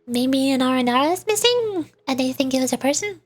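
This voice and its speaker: Female VTuber, high husky voice, casual livestream chatter, trips over words reading aloud, faint game music. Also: lively intonation, a little fast. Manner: rambling, stumbles over words while reading. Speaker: streamer, VTuber.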